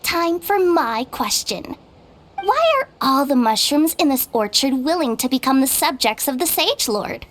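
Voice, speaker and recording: A woman's voice: sits high, very animated, measured pace, fairly bright tone, slight background noise, full character voice. A woman voicing a haughty talking mushroom in a video game, formal and condescending as it sets riddles.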